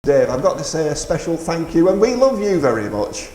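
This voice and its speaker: Man, animated pitch, jokey radio presenter, chatty delivery, echoey live stage. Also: brisk. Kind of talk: live radio interview and fundraising appeal. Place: radio studio stage in an indoor market.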